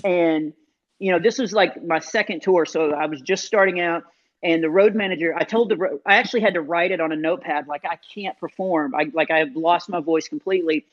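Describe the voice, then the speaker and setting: A man, a comedian guest in an interview, telling self-deprecating stories in an animated, casual way with many fillers. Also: on the high side, a little fast. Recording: dull lo-fi sound.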